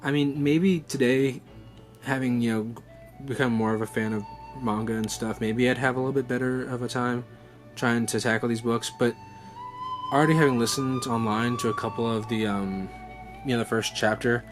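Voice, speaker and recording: A man's voice: medium pitch, fairly even intonation, normal speed. Young man chatting casually over music. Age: young adult. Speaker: vlog host. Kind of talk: casual unscripted unboxing chat. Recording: music playing behind the voice. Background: background music.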